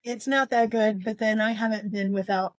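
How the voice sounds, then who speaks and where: A woman's voice: mid-range voice, steady tone, normal speed, no room sound. A woman co-hosting a podcast, chatting casually about books in a conversational style. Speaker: podcast co-host. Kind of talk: casual book discussion chat.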